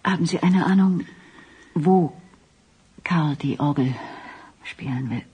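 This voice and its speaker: A woman playing a tense, shocked character in a radio drama, urgent and breathy in her disbelief. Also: low voice, takes it slowly, muffled recording, full character voice. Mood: horrified.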